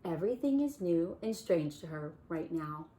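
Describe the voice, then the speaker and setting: A woman voicing a calm, motherly duck nanny who talks softly and soothingly. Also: fairly low pitch, normal speed, close to an everyday voice.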